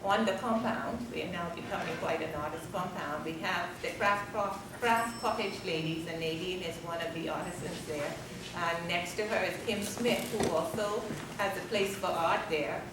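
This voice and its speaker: A woman's voice: lowish voice, normal speed, few pauses. A middle-aged woman, a gallery owner, speaking earnestly and conversationally to an audience at a public talk. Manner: earnest, passionate, conversational with many "you know"s. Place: gallery event space with an audience. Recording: echoey room, far from the mic, audible background noise.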